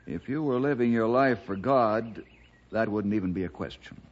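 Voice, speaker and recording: Actor as an earnest radio-drama ranger, dull recording. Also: male voice, on the low side, lightly acted. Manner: earnest, measured, moralizing. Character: Bill, earnest, upright, fatherly forest ranger. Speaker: ranger and lead character. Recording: dull, band-limited old radio recording.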